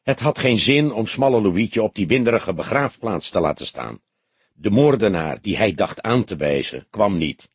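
A man narrating an audiobook in a measured, expressive literary storytelling style. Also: lowish voice, slightly rough, dry recording.

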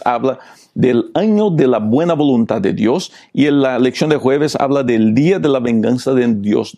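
Gravelly pastor. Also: male voice, fairly high pitch, warm tone. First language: Portuguese. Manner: preachy, repeated rhetorical questions. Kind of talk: Bible lesson commentary.

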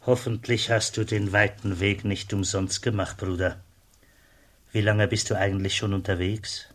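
An actor playing a friendly, hospitable customs officer at a border post in a radio play, with a husky voice. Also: male voice, fairly low pitch, flat delivery, normal speed, lightly acted. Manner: kindly, hospitable.

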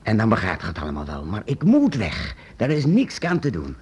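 An actor playing a secretive, eccentric master painter-inventor in a radio play, hesitant and halting, then urgent. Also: male voice, fairly low pitch, wildly swooping pitch, darkish tone, husky, full character voice. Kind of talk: radio drama acting.

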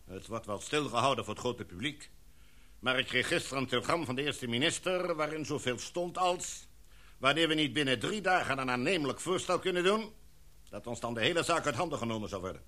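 A man's voice: mid-range voice, sharp tone. Een man die in een hoorspel een formele, gezaghebbende expeditieleider speelt en afgemeten, redenaarsachtig spreekt. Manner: formal, oratorical, measured. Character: authoritative, formal expedition leader, a knighted gentleman. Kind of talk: radio drama acting.

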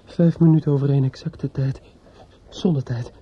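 An actor in a radio play playing a distraught, bitter young man in grief, speaking haltingly with stammers and repetitions. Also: mid-range voice, steady tone, breathy edge, lightly acted. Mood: obsessive, unsteady. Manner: halting, stammering, repeats himself. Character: distraught, bitter young bridegroom-to-be, grieving and obsessive. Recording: dull lo-fi radio play recording.

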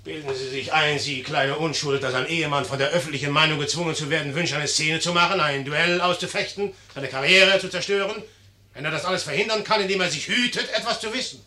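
An actor playing a young, proud, hot-tempered general in a theatrical 1950s German radio play, sardonic and imperious in manner. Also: male voice, a little high, quick pace, sharp tone. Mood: mocking, amused.

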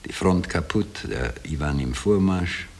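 A man's voice: low-pitched, expressive, measured pace, a little mellow, husky, full character voice. An actor playing a haunted Austrian former corporal, telling his wartime imposture in colloquial dialect in a 1960s radio drama. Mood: grim, subdued. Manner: colloquial dialect storytelling, broken into short phrases. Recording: hissy old radio tape.

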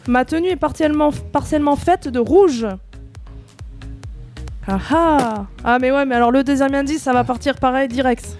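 A woman's voice: high-pitched, normal speed, darkish tone, soft background music. A woman hosting a quiz in a casual, playful, bantering way. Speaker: quiz host.

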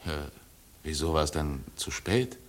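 A reserved, low-voiced questioner. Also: male voice, lively intonation, a little slow, exaggerated voice. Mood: quietly attentive. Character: reserved male listener gently questioning a storyteller. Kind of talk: German radio drama acting.

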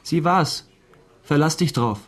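A man's voice: fairly high pitch, expressive, slow, loud music behind the voice. An actor playing a smug, argumentative young man in a radio drama, confident, insistent and matter-of-fact. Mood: cocksure. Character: cocksure young know-it-all, argumentative, smug.

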